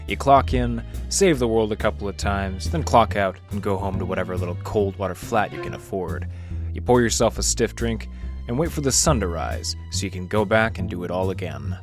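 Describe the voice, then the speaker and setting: An actor playing a world-weary, hard-boiled library detective, narrating his case in a wry, deadpan, mock-tough way. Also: lowish voice, a little fast. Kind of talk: noir radio detective narration. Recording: narration over loud guitar music. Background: guitar music.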